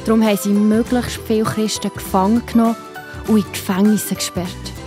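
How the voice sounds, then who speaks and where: Erzählerin mit schweizerdeutschem Akzent. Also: female voice, medium pitch, steady tone, brisk, music in the background. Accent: Swiss German. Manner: gentle, explaining. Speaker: narrator. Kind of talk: storytelling for children.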